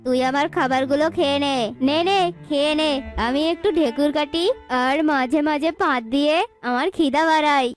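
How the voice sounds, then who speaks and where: A woman's voice: sits high, fairly even intonation, moderate pace, soft background music, voice pushed down, natural voice. A woman voicing a naive, eager and hungry pet dog in a children's cartoon. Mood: delighted, trusting. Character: gullible, hungry pet dog, trusting and simple.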